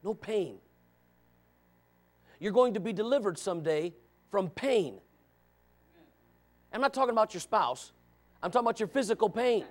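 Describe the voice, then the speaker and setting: High-voiced shouting preacher man. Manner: loud, shouting, with joking asides to the congregation. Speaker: pastor. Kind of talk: revival sermon.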